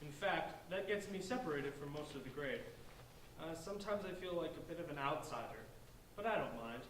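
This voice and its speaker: A man's voice: on the high side, animated, fairly quick, fairly bright tone. A man playing a polite, bookish fifteen-year-old outsider with an earnest, wordy manner in a staged play reading. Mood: philosophical. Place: large echoey indoor room, distant microphone. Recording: echoey room from far off, faint noise.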